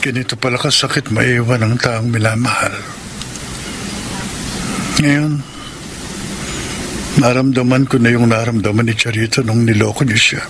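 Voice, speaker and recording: A man's voice: mid-range voice, steady tone, unhurried, pauses often, lightly acted. A man with a Filipino accent playing a guilt-ridden, lovelorn young son in a radio drama, anguished and melodramatic. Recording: radio drama with clear background noise.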